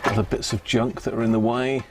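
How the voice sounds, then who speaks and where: A man's voice: medium pitch, flat delivery, brisk. A man hosting a casual hands-on electronics livestream, muttering his way through each step as he works. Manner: muttering step by step, thinking aloud. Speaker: livestream host demonstrating radio repair. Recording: clear background noise with clicks.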